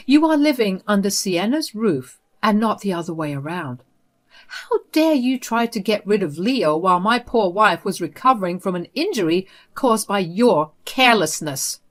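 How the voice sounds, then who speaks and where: A woman's voice: medium pitch, big pitch swings, normal speed, natural voice. A woman voicing a firm, protective grown son who scolds sternly in a read-aloud story. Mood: stern angry.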